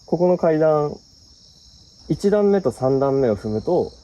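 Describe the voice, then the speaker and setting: A man's voice: fairly high pitch, big pitch swings, slow pace, lo-fi sound, noisy background. A man hosting a ghost-spot exploration, explaining casually to camera outdoors at night.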